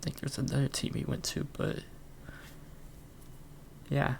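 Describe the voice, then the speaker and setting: A man's voice: mid-range voice, little pitch movement, unhurried, gravelly, a little mellow, a little hiss or hum. A man hosting his own podcast alone, rambling casually with lots of fillers and frequent chuckles. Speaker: podcast host. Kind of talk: casual solo podcast chat.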